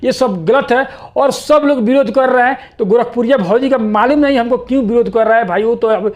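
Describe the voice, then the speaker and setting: A man's voice: very high-pitched, a little fast, a little mellow. A man giving informal, opinionated news commentary to viewers in a repetitive, insistent way.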